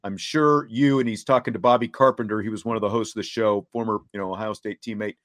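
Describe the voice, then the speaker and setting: A man hosting a sports talk show, reading and commenting in a deliberate way, laying out points step by step. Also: lowish voice, fairly even intonation.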